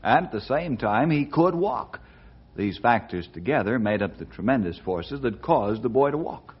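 An actor playing a steady, kindly ranger who tells stories in a radio drama, with a husky voice. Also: male voice, mid-range voice, normal speed. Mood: earnest, storytelling. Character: steady, kindly cowboy ranger, storyteller and family friend. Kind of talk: old-time radio drama acting and narration. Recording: dull lo-fi old radio recording.

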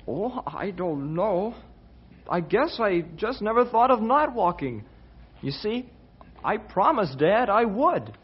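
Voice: medium pitch, big pitch swings, slow pace. A performer playing an earnest, devoted young boy in a radio drama. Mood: earnest, shy. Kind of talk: old-time radio drama acting. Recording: dull old radio recording, faint noise.

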